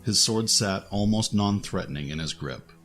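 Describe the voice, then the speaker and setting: A man's voice: lowish voice, moderate pace, fairly bright tone. A man reading scripted narration for a fantasy action audio drama as its narrator.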